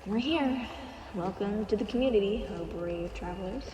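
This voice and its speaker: A woman's voice: medium pitch, normal speed, dark tone, natural voice. A woman playing a playful, foul-mouthed undead guide in an audio roleplay. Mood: wry. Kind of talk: audio roleplay acting, conversational. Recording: echoey reverberant space with clear noise.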